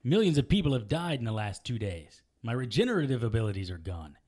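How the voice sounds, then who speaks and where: Wounded supernatural detective, lively intonation, medium pitch, wry, stubborn, naturalistic audio drama. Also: male voice, fast. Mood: insistent. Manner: wry, defensive.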